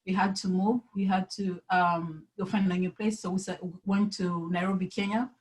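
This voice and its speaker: A woman's voice: mid-range voice, little pitch movement, normal speed, darkish tone, low-quality recording. A woman in her early twenties from the Democratic Republic of the Congo, a native French speaker, giving a personal account as a panelist in a hesitant, self-correcting way. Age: early 20s. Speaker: panelist, university student.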